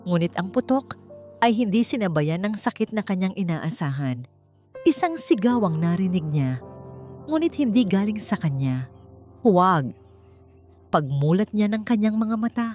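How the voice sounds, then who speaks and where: A woman's voice: mid-range voice, animated, moderate pace, mellow, faint music behind. A woman with a Filipino accent narrating a dramatic audiobook story from a script.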